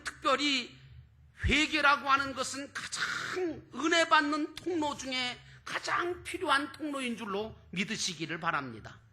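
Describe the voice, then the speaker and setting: Male preacher, extremely high and forceful voice, declamatory, rhetorical sermon style. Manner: emphatic, declamatory, stretches key words, rhetorical questions. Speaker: pastor preaching to a congregation.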